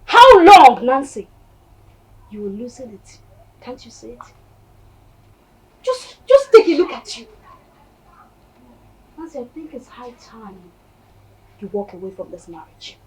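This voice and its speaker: A woman's voice: high voice, huge pitch swings, measured pace, long pauses, a little hiss or hum, full character voice, rough recording. A woman acting as a blunt younger sister who scolds and mocks her sibling. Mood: scolding. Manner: mocking and scolding. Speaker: actor in a comedy skit. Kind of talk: comic skit acting.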